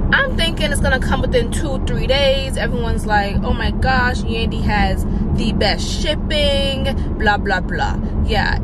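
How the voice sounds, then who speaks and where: A woman's voice: mid-range voice, very noisy. A young woman chatting frankly to a handheld camera in a casual vlog, moving between home, car and cafe. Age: young adult. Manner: chatty, frank, quick asides. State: congested. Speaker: vlogger. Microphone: handheld camera at arm's length.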